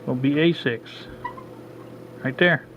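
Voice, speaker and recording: Man thinking aloud over muffled noise. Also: mid-range voice. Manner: halting, thinks aloud with frequent uh. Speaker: hobbyist radio restorer. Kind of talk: casual hands-on demo narration. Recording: dull lo-fi with steady background noise.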